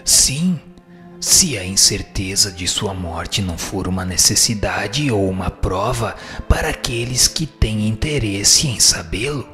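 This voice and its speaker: A man playing a solemn, wise teaching spirit in a radio drama, answering in a formal, measured, didactic way. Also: lowish voice, animated, fairly bright tone, faint music behind. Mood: solemn, instructive. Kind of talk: scripted radio drama reading of questions and answers.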